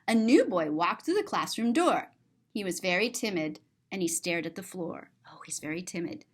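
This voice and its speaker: A woman's voice: fairly low pitch, expressive, normal speed. A woman reading a children's picture book aloud as a story-time host, expressively and with simple explanations for young listeners.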